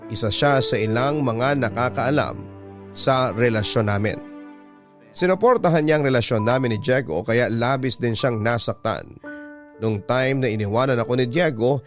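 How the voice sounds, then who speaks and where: A man's voice: mid-range voice, moderate pace, close to an everyday voice. A man with a Filipino accent narrating as a wistful letter sender recounting lost love in a radio drama. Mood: wistful, reflective. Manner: reflective, sentimental. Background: music.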